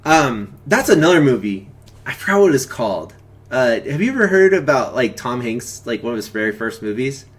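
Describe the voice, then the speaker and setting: A man's voice: mid-range voice, sing-song, normal speed. A man hosting a live stream, chatting in a loose, laid-back and rambling way. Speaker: host of a movie commentary live stream. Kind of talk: casual chat to viewers and caller.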